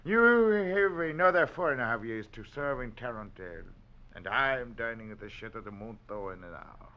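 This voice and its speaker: A man's voice: mid-range voice, expressive, moderate pace, close to an everyday voice. An actor playing a sleek, cunning, mocking man who is a master of disguise, in a 1940s radio drama. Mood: smug, taunting. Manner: smug, taunting. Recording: dull old radio recording.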